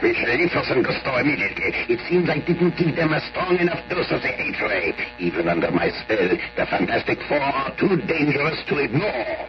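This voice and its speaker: A man's voice: medium pitch, lively intonation, a little fast, few pauses, over loud music, lightly acted. An actor playing a cold, commanding male villain bent on spreading hatred, in 1970s radio drama acting. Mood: menacing. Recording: dull noisy 1970s radio recording.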